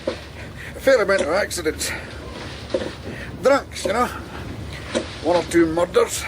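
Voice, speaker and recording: Folksy Scottish river boatman, unhurried and darkly funny, radio drama with clear background noise. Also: high voice, expressive. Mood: wry, chatty. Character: chatty, wry working river boatman. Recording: noisy outdoor river boat sound effects.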